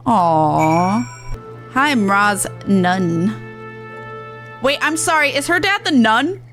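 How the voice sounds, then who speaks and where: A woman streamer reacts casually during gameplay. Her voice sits high, with game music faintly behind her. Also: big pitch swings, extremely slow, fairly bright tone.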